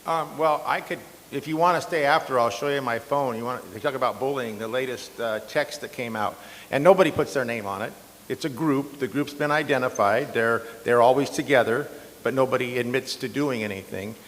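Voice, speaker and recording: Slightly hoarse man, defensive, in a hall. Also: medium pitch, fairly quick, slight background noise. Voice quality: loud strong voice. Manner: defensive, conversational with fillers. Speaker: incumbent county supervisor. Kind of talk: candidate debate answer. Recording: long hall reverb.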